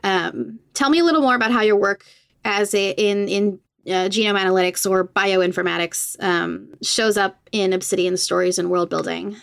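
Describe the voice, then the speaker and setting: A woman hosting a conversational podcast interview, speaking carefully and haltingly as she gets the details right. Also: mid-range voice, vocal fry, no echo.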